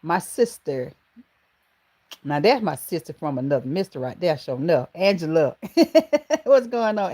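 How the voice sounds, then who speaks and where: A woman from Georgia with a Southern accent, hosting a casual livestream and greeting viewers by name, calling them baby and honey. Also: mid-range voice. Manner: affectionate, calls viewers baby and honey, animated exclamations. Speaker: livestream host.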